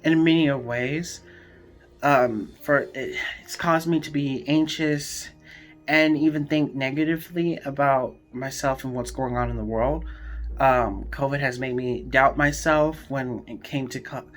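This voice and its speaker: An eighteen-year-old university student speaking slowly and hesitantly about self-doubt. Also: mid-range voice. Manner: hesitant, slow, reflective. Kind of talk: personal testimonial. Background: music.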